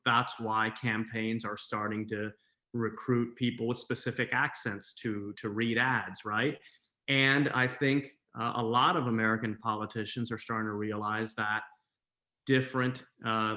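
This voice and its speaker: Thirties male guest, low-ish bright voice, measured explainer on a video call. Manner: measured, explanatory, many fillers. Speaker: guest commentator. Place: home room.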